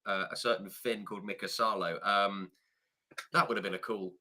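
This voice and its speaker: A man's voice: fairly low pitch, fairly even intonation, normal speed, sharp tone. A man in his forties hosting a live chat show, easygoing, reading out viewer comments. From England. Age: 40s. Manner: friendly, reads viewer comments. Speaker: host.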